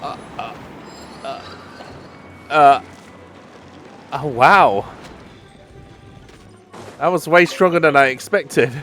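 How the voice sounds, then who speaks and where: A man's voice: a little high, extremely slow, pauses often, a bit bright. A man giving offhand running remarks in a casual let's play commentary. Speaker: gamer commenting while playing. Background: game music.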